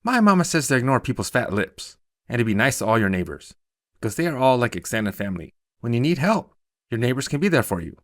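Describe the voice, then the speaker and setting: A man's voice: medium pitch, big pitch swings, quick pace, no room sound, studio quality, pitched above the performer's own voice, close to an everyday voice. A man voicing a cheerful, polite and chatty little girl for a short story, bubbly and earnest. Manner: bubbly, earnest, quotes mama's sayings.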